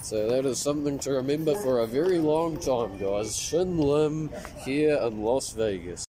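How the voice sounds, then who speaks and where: An excited young man vlogging from a phone held at arm's length, his voice a bit off from a cold, saying "guys" constantly. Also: mid-range voice, a little slow, crowd noise, low-quality recording. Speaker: travel vlogger. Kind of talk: casual vlog narration. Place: casino lobby, then outdoors on a busy city street at night. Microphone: handheld phone camera at arm's length.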